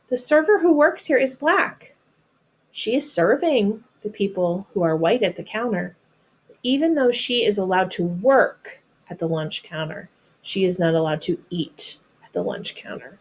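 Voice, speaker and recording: A woman with an American accent reads a picture book aloud like a teacher, pausing to explain things simply and patiently to children. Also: mid-range voice, husky. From North Carolina, United States.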